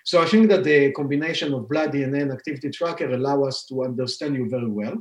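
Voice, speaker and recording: A man's voice: mid-range voice, fast talker, a little mellow. A man in his forties with an Israeli accent, a scientist and founder explaining his work in a podcast interview, with many fillers and restarts. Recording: roomy echo.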